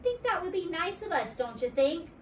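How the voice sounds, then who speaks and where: Woman putting on a high bouncy little girl voice, lively, roomy distant recording. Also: normal speed. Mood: wheedling. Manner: high, wheedling, bouncy child voice. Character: bold chatty little girl, kindergartner. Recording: roomy, distant mic, faint noise.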